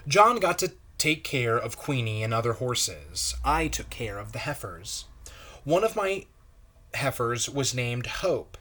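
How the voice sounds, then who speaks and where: Slow-paced man reading to children. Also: medium pitch, big pitch swings, sharp tone, breathy edge. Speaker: pastor reading a children's book aloud. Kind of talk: children's story read-aloud.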